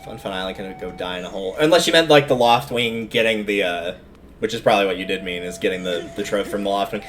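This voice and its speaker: A man's voice: on the low side, fast, a bit bright, faint music behind. A man giving casual, chatty, opinionated live commentary as he streams a video game, rambling off into asides. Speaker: game livestreamer.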